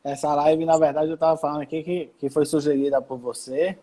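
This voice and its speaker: A man's voice: a little high, fairly quick, slight background noise. A man speaks on a video call. He tells his story in a loose, rambling way, restarting his sentences often. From Brazil, Salvador. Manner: relaxed, rambling, many restarts. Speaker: federal judge. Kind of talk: live-stream interview, telling his career story.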